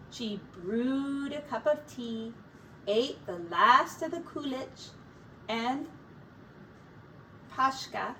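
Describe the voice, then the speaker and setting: High-voiced woman slowly reading a storybook aloud. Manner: expressive, deliberate storytelling for small children. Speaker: teacher reading aloud to young children. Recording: distant mic, faint background noise.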